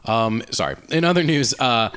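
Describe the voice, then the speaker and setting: A man's voice: medium pitch, lively intonation, normal speed, a bit bright, clear, resonant tone. A man co-hosting a podcast, casually discussing pop culture in an opinionated, questioning way. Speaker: podcast co-host.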